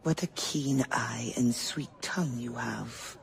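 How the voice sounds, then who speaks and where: A man's voice: mid-range voice, slow, vocal fry. A man playing a shrewd, silken colonial informant, speaking with poised, teasing formality. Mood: coy reproach. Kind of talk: video game cutscene acting.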